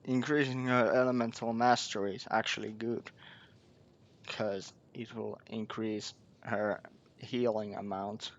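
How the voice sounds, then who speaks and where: A man's voice: lowish voice, little pitch movement, unhurried, highs cut off, a little hiss or hum. A man giving casual gameplay commentary, hesitantly thinking aloud. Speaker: gamer recording gameplay. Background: soft game music.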